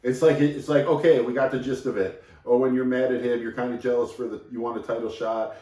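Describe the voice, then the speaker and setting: A man's voice: mid-range voice, steady tone, fairly quick, warm tone, roomy sound, recorded from a distance. A man co-hosting a casual wrestling recap podcast, rambling and joking with frequent restarts. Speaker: podcast co-host.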